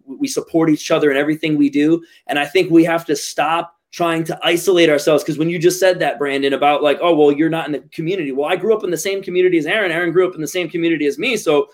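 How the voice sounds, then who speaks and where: A man's voice: fairly high pitch, fairly even intonation, fast talker, hardly any pauses. A man in a casual podcast discussion, talking earnestly and stumbling over his words with many restarts. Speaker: podcast guest.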